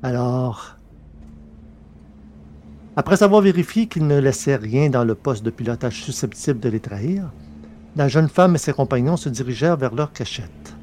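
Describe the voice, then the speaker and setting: A man narrating a science-fiction story from a script, in a measured, literary reading manner. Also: medium pitch, lively intonation, a little mellow, a little hiss or hum.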